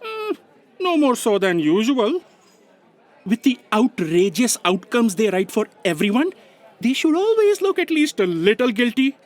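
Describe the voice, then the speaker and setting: A man's voice: very high-pitched, big pitch swings, unhurried, exaggerated voice. A man playing a fussy, bossy, theatrical assistant in a comedic audio drama, exclaiming dramatically. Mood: exasperated.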